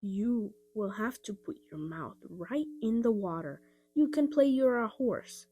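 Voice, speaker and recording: A woman's voice: on the high side, animated, unhurried, warm tone, husky, dry recording. Woman voicing a cheerful, responsible big-brother boy. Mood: reassuring. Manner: reassuring, instructing. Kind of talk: children's storybook character voice.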